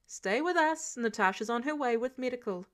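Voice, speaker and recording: A woman voicing a worried, protective man, a wry and gruff mentor, in audiobook dialogue. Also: on the high side, animated, moderate pace, natural voice. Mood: concerned.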